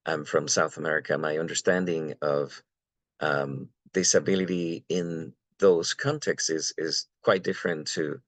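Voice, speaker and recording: A man from Venezuela speaking on an academic panel, halting with many fillers and repeated words. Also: extremely low pitch, fairly even intonation, no echo.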